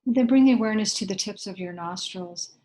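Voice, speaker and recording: A woman leading a guided meditation in a gentle, unhurried way, leaving long pauses between short instructions. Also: medium pitch.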